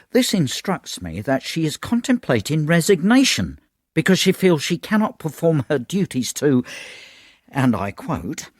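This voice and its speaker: A man's voice: on the high side, no echo, studio quality, heavily acted. A man playing a pompous education official dictating a formal, disapproving memo in a radio comedy-drama.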